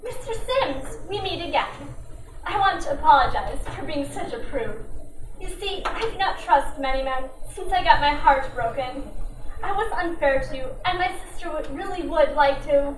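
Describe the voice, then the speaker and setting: A woman's voice: sits high, expressive, moderate pace. A woman playing a scheming, bossy femme fatale who poses as a proper lady in a radio detective drama. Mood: mock sweet. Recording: roomy, distant mic, noisy amateur recording.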